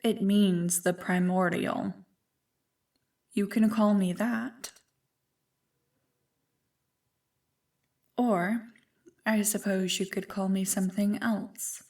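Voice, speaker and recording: A woman playing an ancient evil female spirit in an ASMR roleplay, seductive, smug and condescending in a deliberate, teasing manner. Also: medium pitch, a little mellow, recorded from a distance. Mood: imperious, playful.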